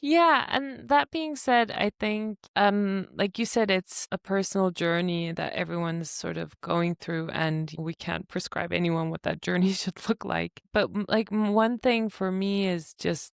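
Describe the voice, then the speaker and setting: Animated woman podcaster, dry home studio. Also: mid-range voice, unhurried, gravelly, few pauses, smooth, no echo. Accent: Turkish-tinged. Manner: animated, laughs often, many fillers. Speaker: podcast co-host. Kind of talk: casual podcast conversation.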